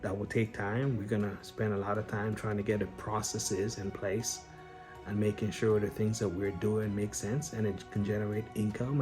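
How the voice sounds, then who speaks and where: A man from Guyana talks straight to his viewers in a relaxed, unscripted way, stopping and restarting his sentences and filling gaps with "um". His voice sits on the low side. Faint background music plays under him. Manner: unscripted, frequent fillers and restarts, addresses viewers directly. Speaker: real estate investor and business owner. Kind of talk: casual explanatory vlog talk.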